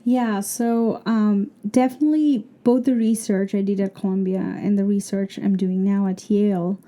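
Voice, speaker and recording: A woman's voice: medium pitch, steady tone, moderate pace, mellow. A woman from Bangladesh with a Bangladeshi accent, a PhD student explaining her research thoughtfully with many fillers in an interview. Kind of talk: interview answer.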